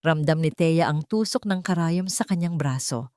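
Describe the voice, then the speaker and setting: A woman's voice: deep voice, moderate pace, a little mellow, no echo, clean studio sound. A woman narrating a dramatic audio story in a somber, emotive way.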